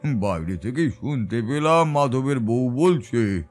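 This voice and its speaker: A man performs a grave tantric holy man telling an eerie first-person tale. His voice is composed and medium-pitched, in dramatic audio storytelling over faint music. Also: animated, slow pace. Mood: serious, urgent.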